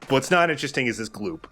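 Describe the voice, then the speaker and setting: A man's voice: medium pitch, sharp tone. A man giving casual, opinionated commentary over a video game, explaining how it works as he goes. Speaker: host of a video game let's play.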